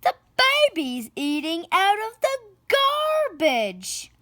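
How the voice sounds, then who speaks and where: Noisy young boy, played by a woman, shouting high in a lively storybook read. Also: takes it slowly, no echo, clean studio sound, exaggerated voice. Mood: loud, alarmed. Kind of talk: children's picture book read-aloud.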